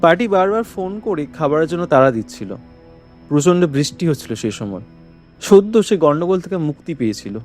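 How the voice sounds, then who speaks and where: A man's voice: on the high side, big pitch swings, brisk, faint music behind. A man playing a courteous, subdued young food delivery rider in an audio drama, with a polite, matter-of-fact way of talking. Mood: grave, sorrowful. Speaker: voice actor in an audio story. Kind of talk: dramatized audio horror story dialogue.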